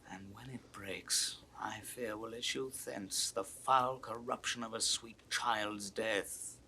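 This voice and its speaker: A man's voice: on the low side, steady tone, slow, pushed and bright, slightly rough, exaggerated voice. A man acting an earnest, indignant English nobleman in a classical Shakespeare audio drama, declaiming with emphatic rhetoric. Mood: hushed, fearful.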